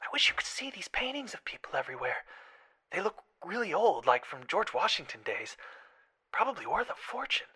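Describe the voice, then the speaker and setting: A man's voice: high voice, very animated, brisk, sharp tone, husky, pitched above the performer's own voice, exaggerated voice. A man voicing a nervous, profane young woman thief in a story, whispering and slangy. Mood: hushed, uneasy.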